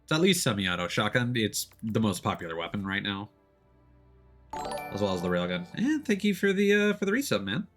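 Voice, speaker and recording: A man chatting casually as he plays a video game on stream, often pausing and restarting mid-thought. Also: on the low side, sing-song, fairly bright tone. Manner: chatty, hesitant mid-thought, playful exclamations. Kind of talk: casual gameplay commentary and chat. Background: faint game music.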